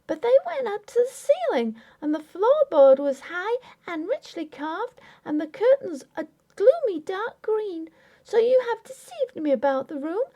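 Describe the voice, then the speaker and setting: Lady doing a young victorian wife, really high and emotional, hurt and insistent, formal wording, audiobook style. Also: dark tone, full character voice. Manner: formal, emphatic, pleading Victorian speech. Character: indignant, tearful, stubborn young Victorian wife. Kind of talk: dramatic audiobook character acting.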